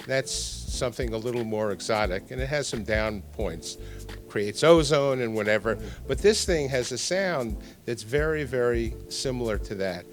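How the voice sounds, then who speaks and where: An older man being interviewed as a product designer, explaining technical details and repeating words for emphasis. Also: medium pitch.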